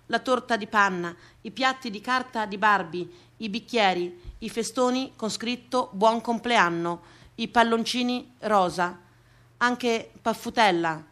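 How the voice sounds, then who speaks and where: A woman performs a dramatic scripted radio monologue, deliberate and repeating phrases for emphasis. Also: medium pitch, a bit bright. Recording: music behind the voice. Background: music.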